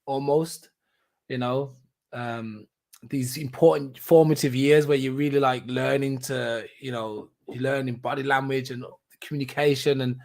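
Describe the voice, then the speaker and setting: A man's voice: medium pitch, fairly even intonation, unhurried, darkish tone. Rambling man chatting casually as a podcast host. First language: not German. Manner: rambling, repeats words, many ums and restarts. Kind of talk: casual solo catch-up chat.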